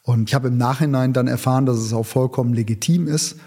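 A man in his fifties, born in northern Italy and raised in Germany, telling lively stories as a musician guest in an interview. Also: medium pitch. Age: 50s. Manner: lively anecdotal storyteller, quick bursts. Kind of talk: casual storytelling interview.

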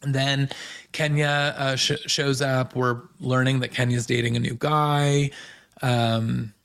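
A man hosting a podcast with a hoarse voice, recapping pop culture in a chatty, gossipy way. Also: mid-range voice, little pitch movement, a bit bright. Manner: chatty, gossipy, fast asides. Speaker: podcast host.

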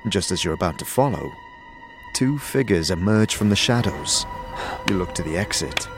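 A man narrating a fantasy audio drama with measured, theatrical storytelling in a dramatic scripted style. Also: sits low, lively intonation. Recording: prominent music behind the narration.

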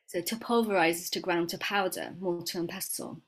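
Woman herbalist guest, raised in Florida then England, lower voice, reflective and unhurried. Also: steady tone, slightly rough, rough recording. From grew up in Florida, then England. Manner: reflective, hesitant storyteller with many fillers and restarts. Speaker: guest, herbalist and medicine maker. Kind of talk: conversational interview.

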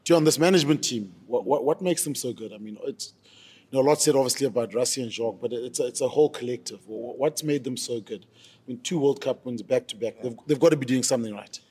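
A male host with a South African accent asks questions in a casual chat. His speech is very quick and his mid-pitched voice has a rough, husky grain. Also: darkish tone. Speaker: podcast host. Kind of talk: casual interviewing.

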